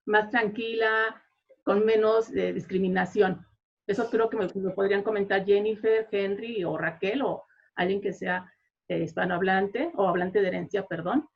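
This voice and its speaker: A woman teacher shares her experience in a conversational, explanatory way at an academic discussion. Her voice sits at an average pitch for a woman. The audio is somewhat dull and lo-fi. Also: vocal fry. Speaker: Spanish teacher. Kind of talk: conversational remarks in an academic panel.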